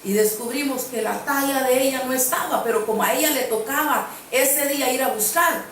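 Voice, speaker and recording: A woman's voice: high-pitched, fairly quick, a bit bright, a little hiss or hum. A woman preacher giving an earnest sermon, telling a personal story and repeating phrases for emphasis. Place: church sanctuary. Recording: very echoey church from a distance.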